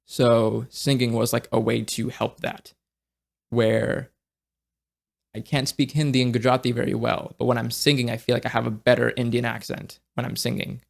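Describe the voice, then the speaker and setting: Gravelly, halting young man. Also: mid-range voice, level tone. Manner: halting, with many restarts and fillers; reflective, searching for words. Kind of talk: casual conversation.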